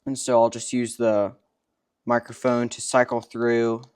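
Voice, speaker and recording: Clear-voiced young man giving a tutorial. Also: medium pitch, flat delivery, unhurried, a little mellow. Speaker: co-presenter demonstrating equipment. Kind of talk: step-by-step tutorial explanation.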